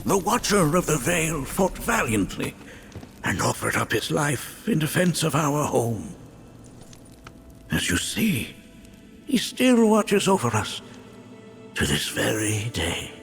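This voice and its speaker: An actor playing a wise old village elder in a video game, a grave but gentle mentor who speaks in formal, archaic, theatrical phrases. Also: male voice, a little high, very animated, slow pace, creaky, pauses often, heavily acted. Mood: solemn. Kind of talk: video game cutscene voice acting. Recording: loud music behind the voice.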